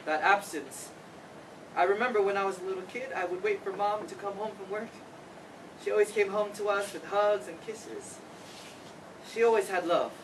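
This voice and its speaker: A man's voice: high voice, moderate pace, long pauses, close to an everyday voice. A man playing a grieving, regretful adult son in a staged reading of a play. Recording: distant mic, noisy room.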